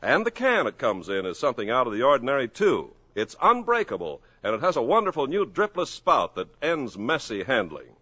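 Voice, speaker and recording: A male announcer reads an old-time radio commercial with a cheerful salesman's delivery. His pitch swings widely, rising and dipping as he pushes the product. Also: huge pitch swings, a little fast, hardly any pauses, sharp tone. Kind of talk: read commercial. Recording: old 1950s radio broadcast.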